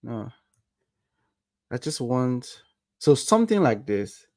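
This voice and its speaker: Nigerian man teaching code, slow and questioning. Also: medium pitch, sing-song, warm tone, dry recording, studio quality. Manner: asks rhetorical "what?" questions. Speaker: coding livestream instructor. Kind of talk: live coding tutorial with chat.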